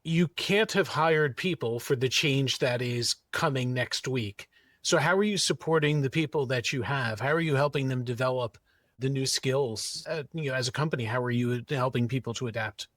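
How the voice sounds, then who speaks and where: A man with a medium-pitched voice interviews a guest in a considered, conversational way. The sound is dry, with no room echo to speak of. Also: moderate pace, fairly bright tone. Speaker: podcast host, interviewer.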